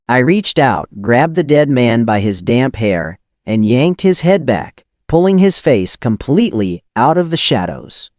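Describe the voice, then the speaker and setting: Male narrator, scripted thriller, tense and dramatic, highly animated pitch, dry close sound. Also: no room sound.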